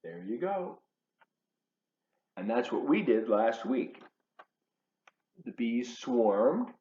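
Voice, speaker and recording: A man reading a children's book aloud in an expressive, emphatic, teacherly way, adding chatty asides for his young listeners. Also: medium pitch, extremely slow. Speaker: volunteer children's storybook reader. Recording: roomy echo, slightly dull sound.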